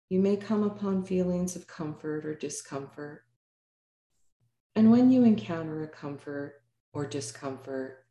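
Female meditation teacher, mellow and calm, measured phrasing with pauses, roomy echoing sound. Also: deep voice. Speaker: yoga nidra meditation teacher. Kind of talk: guided meditation narration.

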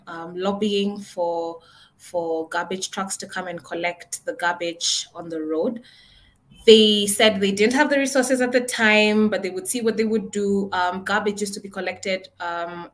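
A woman, a podcast guest telling a story conversationally, animated, with frequent small restarts. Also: mid-range voice. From Kenya. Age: 20s.

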